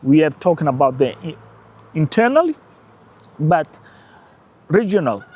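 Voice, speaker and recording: A man with a Portuguese-speaking accent and Portuguese as his first language, hosting his own channel with emphatic, animated political commentary and brief laughs. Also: fairly high pitch, measured pace, husky, dull audio. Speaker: channel host.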